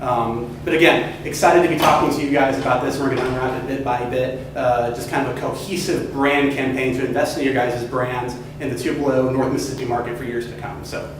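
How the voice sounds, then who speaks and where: A young man from California giving a casual, upbeat class presentation pitch, full of hedging fillers. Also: steady tone, fairly quick, hardly any pauses, noisy background. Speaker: student presenter. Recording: echoey room from far off.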